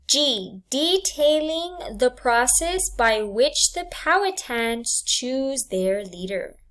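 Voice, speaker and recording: A woman with a high, very lively voice reads aloud as a teacher would to a class. She goes slowly and deliberately, setting out each question and answer choice one item at a time. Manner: deliberate, enunciated item-by-item delivery for students. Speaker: English language arts teacher.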